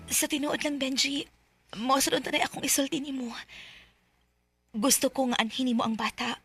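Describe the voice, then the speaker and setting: A woman's voice: high voice, fairly even intonation, moderate pace, a bit bright, slightly rough. An actress playing an earnest, ambitious young mother in a Filipino radio drama, with a Cebuano accent. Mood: earnest, pleading.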